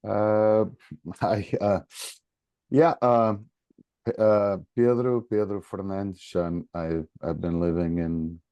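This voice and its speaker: A man from Portugal with a Portuguese accent, talking casually as a guest in a hesitant, halting way. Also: on the low side, fairly even intonation, clean tone, no room sound, highs cut off. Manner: hesitant, halting, repeating words. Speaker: podcast guest, soccer coach. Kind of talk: casual conversation.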